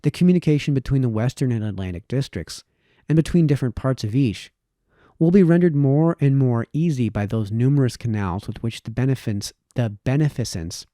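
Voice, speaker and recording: Male reader, mid-pitched and mellow, measured formal delivery of essay text. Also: no echo, studio quality. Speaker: reader, host of a reading series. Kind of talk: reading a historical political essay aloud.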